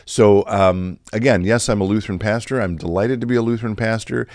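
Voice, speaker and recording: Middle-aged male pastor, low voice with a touch of rasp, conversational and unhurried, dry close-miked sound. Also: steady tone, darkish tone, no echo. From Chicago area, USA. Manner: conversational, many fillers and restarts. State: slightly raspy from allergies. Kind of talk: reflective podcast talk.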